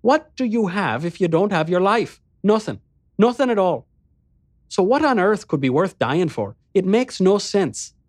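A sweet, alluring woman, as a male reader puts her on, coaxes and teases in a gentle, motherly way. Her voice sits well above his own and rises playfully. The recording is dry and close. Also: high voice, sing-song, unhurried, no echo, pitched above the performer's own voice, heavily acted. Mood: coaxing. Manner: gently teasing, soothing, motherly coaxing. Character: sweet, coaxing, seductive woman of a strange house.

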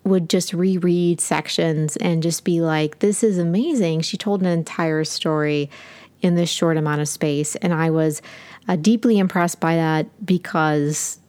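A woman's voice: deep voice, fairly even intonation, unhurried, a little mellow. A woman co-hosting a podcast, chatting casually and enthusiastically about books with many fillers. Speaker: podcast co-host. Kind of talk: casual book chat.

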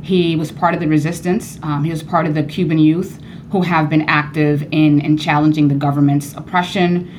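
A woman born in Cuba speaks as a guest in a radio interview, with a voice that sits slightly low. She talks earnestly and grows animated, leaping higher when she presses a point. A faint low rumble sits under her voice. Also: moderate pace. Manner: earnest, animated, rising high when emphatic. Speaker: professor and author, guest.

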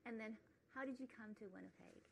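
A woman's voice: fairly high pitch, brisk, gravelly, background noise. A woman hosting an interview podcast, asking friendly, prompting questions. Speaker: podcast host.

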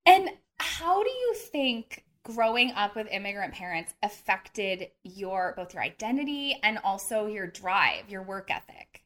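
A woman's voice: medium pitch, big pitch swings, unhurried, hardly any pauses, fairly bright tone. Enthusiastic woman hosting an interview. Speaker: interview host. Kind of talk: casual interview chat.

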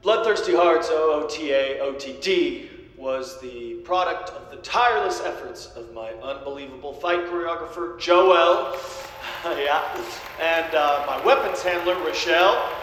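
An actor playing a vain, goofy movie star in an audio drama, rambling and showboating through a speech. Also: male voice, a little high, a little slow, a bit bright. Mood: showy gratitude. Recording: very echoey large hall.

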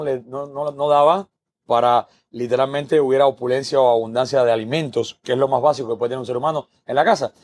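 A man's voice: medium pitch, darkish tone. Cuban male guest, earnest storyteller, mid-range voice, repeats himself for emphasis. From Cuba. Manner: earnest, repeats words while building a point. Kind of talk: podcast interview, personal story.

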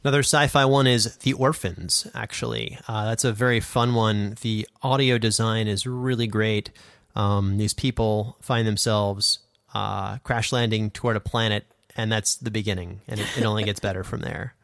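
A man's voice: fairly low pitch, steady tone, normal speed, creaky, fairly bright tone, dry recording, studio quality. A man co-hosting a podcast, chatting casually as he gives recommendations. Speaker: podcast co-host. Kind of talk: casual chat recommending audio drama podcasts.

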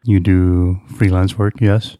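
A man hosting a podcast conversation, putting questions to guests in a measured, courteous way. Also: low-pitched, flat delivery, mellow, no echo, studio quality. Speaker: podcast host, interviewer. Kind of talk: moderated conversation.

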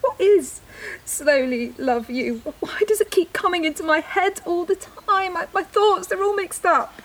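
A woman's voice: extremely high pitch, a little slow. An actress in an audio drama playing a distraught, unravelling woman, tearful and stammering in repeated fragments. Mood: confused, distraught. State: tearful, breaking down. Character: distraught young woman, unravelling, confused and grieving. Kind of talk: emotional audio drama acting.

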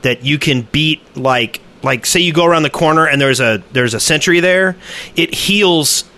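Bright-toned man, halting gaming chat. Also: on the high side. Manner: halting, repeats words. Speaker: podcast co-host. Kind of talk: casual gaming podcast chat.

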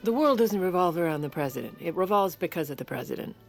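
An ambitious, composed political wife in an audio comedy. Her delivery is deadpan and polished, a little low, with faint music behind. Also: quick pace, gravelly. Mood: dryly composed. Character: wry, composed, ambitious first lady. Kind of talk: comedic audio drama acting and narration.

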